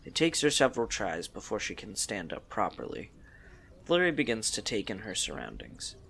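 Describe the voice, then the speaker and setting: A man reads scripted story narration. His voice sits on the low side and moves around a lot in pitch as he describes each scene. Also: huge pitch swings, moderate pace, gravelly, a bit bright, slight background noise.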